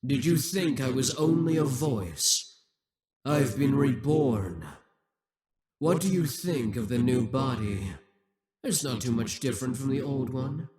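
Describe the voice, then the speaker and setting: A possessive demon king, played by a man, taunts his captive in a slow, drawn-out delivery. His voice sits at an ordinary middle pitch and turns mocking and menacing by turns. It is a scripted audio roleplay. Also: a little mellow.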